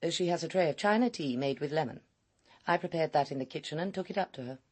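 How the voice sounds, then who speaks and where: Guarded, husky lady's maid. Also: low-pitched, fast, a little mellow. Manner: reticent, terse, formal. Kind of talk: British radio drama acting.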